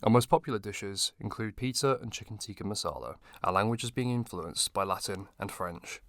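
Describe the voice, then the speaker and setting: A man's voice: lowish voice, normal speed, a bit bright, no echo. A man reading scripted documentary narration as the presenter.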